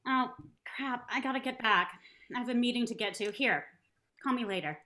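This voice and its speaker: A woman's voice: on the high side, moderate pace, pushed and bright. A woman acting a friendly, teasing career woman and old flame in a sitcom table read, casual and playful. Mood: hurried. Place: video call at a table read.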